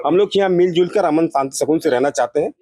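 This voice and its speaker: A man's voice: fairly high pitch, fast, mellow, no echo, clean studio sound. A man from India speaking as a local resident in a street interview, making earnest, repetitive and emphatic appeals. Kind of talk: street interview, opinion statement.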